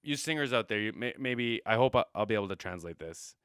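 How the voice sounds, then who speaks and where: A man's voice: on the low side, expressive, quick pace, fairly bright tone, smooth, no echo, studio quality. A man hosting a reaction video who talks as a singer about vocal technique, rambling enthusiastically and repeating himself with many fillers. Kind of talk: casual commentary on a singing performance.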